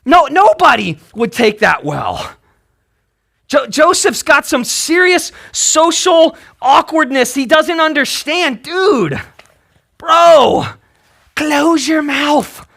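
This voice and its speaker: A male pastor with a very high, bright voice delivers a conversational sermon. His pitch swings widely as he tells the story with emphasis. He keeps the wording colloquial and often checks in with short tag questions. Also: sing-song, slow, creaky. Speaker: pastor preaching.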